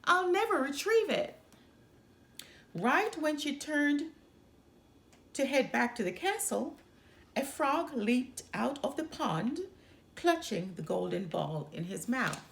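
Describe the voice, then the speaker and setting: A woman hosting story time, reading a children's storybook aloud in an expressive narrating style. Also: fairly high pitch, takes it slowly, creaky. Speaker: storytime host.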